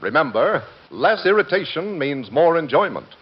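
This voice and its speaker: A man's voice: fairly high pitch, very animated, moderate pace, slightly rough. A man announcing a scripted commercial in old-time radio style. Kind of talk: scripted 1940s-50s radio advertisement. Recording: muffled vintage radio recording.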